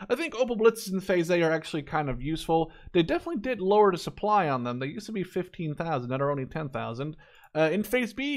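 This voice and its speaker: A man in his twenties, a native Dutch speaker, giving a casual unscripted game review, thinking aloud and repeating words for emphasis. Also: high voice. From Netherlands. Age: 20s. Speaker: gaming YouTuber.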